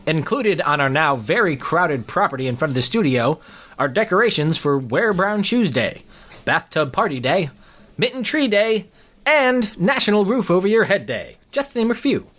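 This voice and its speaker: A man's voice: high-pitched, sing-song, normal speed, fairly bright tone, heavily acted. A man playing a dryly officious small-town news anchor in a parody newscast, reading in a theatrical, sardonic way. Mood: dry, mock-serious. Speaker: local news anchor reading viewer letters. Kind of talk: parody newscast, scripted comedy.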